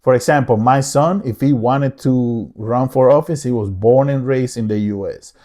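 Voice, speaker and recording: Vlogger, middle-aged man from the Dominican Republic; mellow, dark tone; earnest, repeats himself and restarts lines. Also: mid-range voice, moderate pace. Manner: earnest, repetitive, frequent restarts. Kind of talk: casual opinion monologue.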